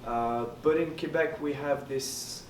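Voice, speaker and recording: A man's voice: fairly high pitch, takes it slowly. A man whose first language is French, a student election candidate giving earnest, hesitant answers at a debate. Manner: earnest, hesitant with many fillers. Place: lounge hall during a debate. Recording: roomy, distant mic, background noise.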